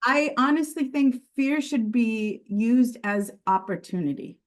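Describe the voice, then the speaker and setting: A woman's voice: fairly high pitch, unhurried. Earnest woman, Sicilian first language. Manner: earnest, reflective, with frequent restarts. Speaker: podcast guest, regulatory and quality director. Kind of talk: conversational interview.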